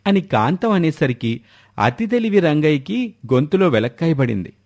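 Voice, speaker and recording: Higher-pitched man narrating a story. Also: big pitch swings, normal speed, darkish tone.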